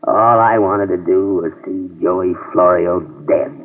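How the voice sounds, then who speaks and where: A man's voice: deep voice, fairly even intonation, a little slow. A man playing a sad-faced bail bondsman driven to vengeance, speaking hesitantly in 1950s radio drama style. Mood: subdued. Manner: hesitant, subdued speech. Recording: tinny, distant old radio transcription.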